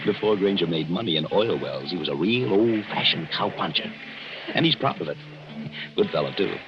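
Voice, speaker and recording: Cheerful young American newlywed, low animated voice, radio play, muffled vintage sound. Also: male voice, normal speed. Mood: reassuring. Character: devoted, cheerful young American husband on honeymoon. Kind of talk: 1940s radio drama acting. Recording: muffled, noisy 1940s radio recording.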